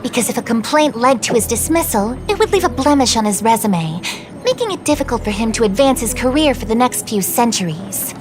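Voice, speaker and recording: A high-pitched young woman clerk in a video game, played by an actress. She is formal, courteous and earnest, explaining in an orderly way with music underneath. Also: animated, fairly quick, natural voice. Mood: earnest, hesitant.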